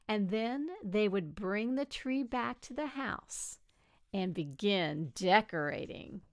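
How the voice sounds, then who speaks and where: A woman reading a children's picture book aloud expressively, with gentle delivery and dramatic pauses. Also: mid-range voice, lively intonation. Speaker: storybook reader.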